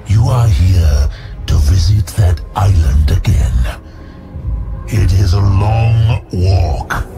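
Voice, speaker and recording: Big contemptuous demon lord, low slow commanding voice, audio drama with loud music behind. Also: male voice. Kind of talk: fantasy audio drama acting.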